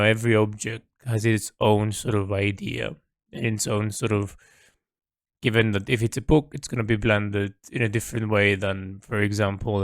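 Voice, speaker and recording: A man who is an artist, recording a reflective, unscripted audio diary in a halting manner, repeating words as he thinks aloud. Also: fairly low pitch, no echo, clean studio sound. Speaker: artist keeping an audio log.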